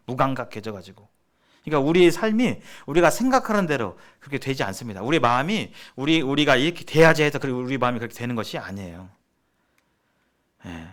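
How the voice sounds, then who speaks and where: A man giving a sermon-like seminar lecture as a preacher, speaking emphatically and repeating phrases for stress. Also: mid-range voice. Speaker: preacher leading a church seminar.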